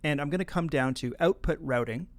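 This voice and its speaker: A man narrating an instructional software tutorial, explaining things casually and step by step. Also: on the high side, a little fast, dry recording.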